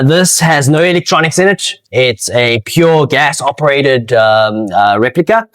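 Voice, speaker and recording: Hesitant South African man, casual interview. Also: mid-range voice. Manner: hesitant, halting, repeats words. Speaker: interviewee, amateur speedsoft player and organiser. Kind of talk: casual unscripted interview answers.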